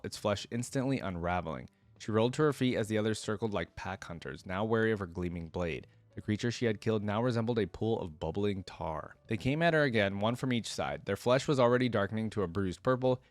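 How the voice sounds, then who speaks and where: A man's voice: fairly low pitch, dry recording. A man hosting a reaction video, reading a fantasy story aloud and adding casual fan commentary.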